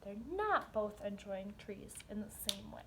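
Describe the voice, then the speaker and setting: A woman's voice: mid-range voice, sing-song, noisy background. A woman kindergarten teacher leading a read-aloud lesson, explaining and posing questions to young children as she goes. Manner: instructional, asks questions and pauses for answers.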